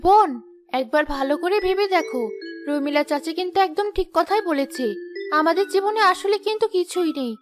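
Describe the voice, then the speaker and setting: An actress playing a young village wife in a cartoon, complaining and wistful, longing for riches. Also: female voice, very high-pitched, brisk, over music. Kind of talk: cartoon dialogue.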